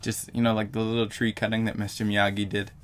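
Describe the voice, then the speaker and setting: A man's voice: lowish voice, monotone, fast. A young man vlogging to camera in a casual, rambling way, full of fillers and self-corrections.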